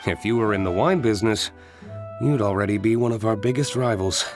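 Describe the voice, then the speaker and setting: A man plays a reserved, shrewd young wine tycoon in an anime game. His voice is on the low side, cool, measured and formal, with clear music behind it. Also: lightly acted. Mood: dryly amused.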